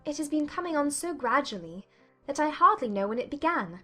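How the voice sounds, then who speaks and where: A woman's voice: high voice, very animated, brisk, bright, forceful tone, soft background music, exaggerated voice. A woman playing a happy, playful young woman in love in a dramatized period audiobook. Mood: playful, confessing.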